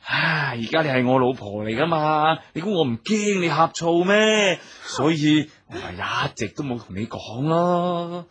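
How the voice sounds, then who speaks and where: A man's voice: fairly high pitch, a little slow, hardly any pauses, a bit bright, slightly rough. A man acting an evasive, tipsy husband in a Cantonese radio drama, sighing and wheedling with his wife.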